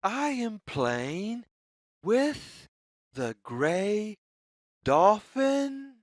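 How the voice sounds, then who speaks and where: Very slow, singsong woman reading to children. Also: mid-range voice, very animated, no room sound, studio quality. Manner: deliberate, singsong, repetitive phrasing. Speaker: children's story reader. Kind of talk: read-aloud picture story for young children.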